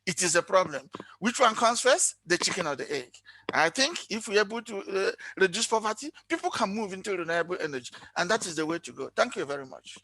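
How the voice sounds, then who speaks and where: Animated speaker making an earnest conference point. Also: medium pitch, fast talker, rough recording. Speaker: forum participant, economist. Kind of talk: unscripted conference intervention. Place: remote online conference call.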